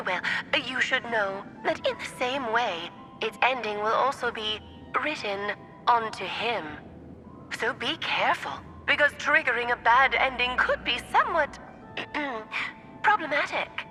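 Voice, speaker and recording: A woman's voice: fairly high pitch, expressive, unhurried, pushed and bright. A woman playing a playful, self-assured mage in a video game, with a theatrical, teasing delivery. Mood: smug, playful. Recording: music behind.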